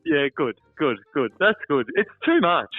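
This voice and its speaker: A man's voice: on the high side, very animated, a little slow, dry recording, faint music behind. A man chatting casually as a guest on a podcast over a phone call. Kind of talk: casual chat.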